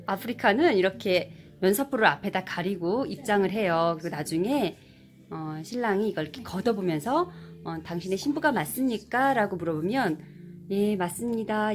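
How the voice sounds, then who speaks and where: A woman's voice: mid-range voice, lively intonation, normal speed. A woman narrating a wedding in a casual, chatty way, laughing often. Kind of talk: casual voice-over commentary. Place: outdoors at a wedding in Kenya. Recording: with music playing behind.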